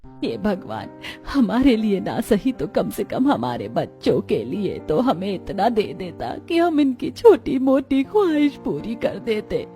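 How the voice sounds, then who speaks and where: A distressed old grandmother from a kids' cartoon, played by a woman, with a mellow tone. She laments with heavy melodrama. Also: mid-range voice, big pitch swings, measured pace, faint music behind. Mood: tearful lament. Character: distressed, lamenting elderly grandmother and mother-in-law. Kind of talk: children's cartoon dubbing, melodramatic.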